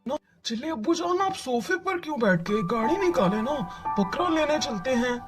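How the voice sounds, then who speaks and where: A performer voicing an eager, impatient young boy who pleads excitedly in a children's cartoon. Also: high-pitched, normal speed. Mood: impatient, pleading. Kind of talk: Hindi children's cartoon dialogue. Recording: music under the voice.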